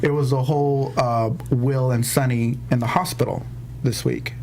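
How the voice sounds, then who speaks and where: A man's voice: medium pitch, little pitch movement, normal speed, gravelly, darkish tone, low-quality recording. Male co-host, chatty, retells the plot, faint background noise. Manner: chatty, retells scenes acting out the lines. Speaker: podcast co-host. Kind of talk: casual soap opera recap chat.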